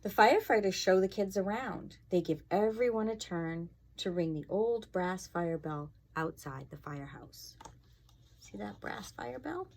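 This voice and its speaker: A woman's voice: on the low side, animated, measured pace, vocal fry, darkish tone, a little hiss or hum. A woman fire inspector reading a storybook aloud to children, expressively, with casual asides and questions to her young listeners.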